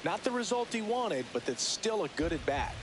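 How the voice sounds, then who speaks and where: A video game baseball color analyst with a slightly high voice. He explains each play in flowing, measured sentences with an approving, instructive manner. Heavy ambient noise sits behind him. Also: very animated. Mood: explanatory, approving. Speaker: color commentator.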